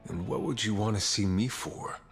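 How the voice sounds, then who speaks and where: A curt, deep-voiced game yakuza. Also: male voice, normal speed, a bit bright, soft background music. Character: blunt, no-nonsense young yakuza man. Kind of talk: English game dub.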